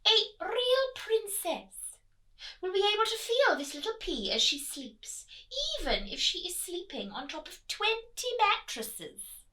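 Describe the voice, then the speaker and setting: A woman's voice: very high-pitched, big pitch swings, a little slow, sharp tone, voice pushed up, full character voice, low-quality recording. A woman voicing a nagging, commanding queen in a children's storybook, scolding and emphatic. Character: nagging, commanding queen, mother of a grown prince. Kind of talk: children's storybook read-aloud.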